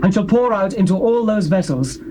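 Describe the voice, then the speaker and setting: A stern, high-voiced prophet. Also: male voice, lively intonation, moderate pace, warm tone, a little hiss or hum, low-quality recording. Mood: instructive. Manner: solemn, declamatory, proclaiming oracles. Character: stern commanding male prophet.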